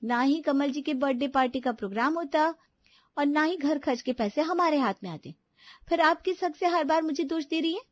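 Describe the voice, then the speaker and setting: A woman's voice: sits high, moderate pace, natural voice. A woman voicing a dutiful but defensive young sister-in-law in a household story, explaining herself indignantly.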